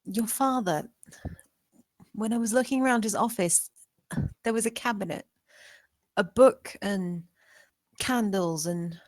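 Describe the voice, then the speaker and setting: A woman's voice: medium pitch, measured pace, long pauses, no room sound, lightly acted. A woman playing a bold, scheming young maid in a screenplay table read. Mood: probing, hesitant.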